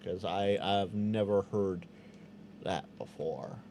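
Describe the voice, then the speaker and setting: A man's voice: low voice, slow pace, vocal fry, dark tone, slight background noise. A man hosting a live ham radio Q&A stream, chatting casually with viewers, often restarting his words and sounding out Morse code.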